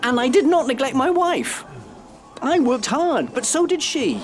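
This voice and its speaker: A man's voice: very high-pitched, very animated, measured pace, full character voice. A man acting in an audio play as a prim, bookish, easily exasperated character, pedantic and stuffy. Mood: indignant, exasperated. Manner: pedantic, stuffy, hesitant when flustered.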